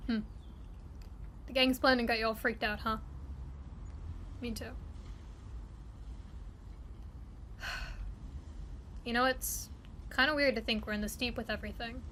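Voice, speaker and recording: A woman's voice: on the high side, steady tone, normal speed, long pauses, slight background noise. A woman playing a streetwise friend who narrates an audio drama in the second person, in an intimate, dramatic manner. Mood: gentle, concerned. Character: concerned streetwise friend narrating a tense urban memory. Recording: loud music behind.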